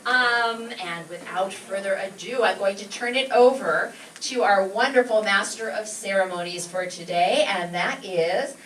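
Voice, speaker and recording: A woman's voice: medium pitch, a little slow, hardly any pauses, fairly bright tone. An American woman giving an effusive, informal welcome speech to an audience as a class instructor, recorded in a live event room. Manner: effusive, enthusiastic. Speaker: class instructor introducing a show. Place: live event room at a community learning center. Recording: roomy, distant mic with background noise.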